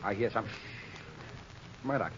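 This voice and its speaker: A man's voice: on the low side, moderate pace, close to an everyday voice. An actor playing a wary, easily spooked gang henchman with terse tough talk in a 1930s radio crime drama. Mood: alarmed. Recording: very noisy, muffled 1930s radio recording.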